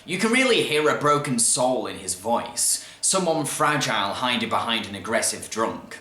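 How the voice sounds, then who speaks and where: A man narrating a scripted video film review in an enthusiastic, expressive manner. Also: medium pitch, moderate pace. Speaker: film reviewer and show host. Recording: roomy, echoey voice track.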